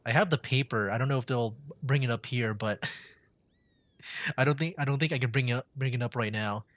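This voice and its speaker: A man's voice: mid-range voice, fairly quick, a bit bright, dry recording. A man giving casual, amused commentary while streaming a video game. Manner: chatty, amused.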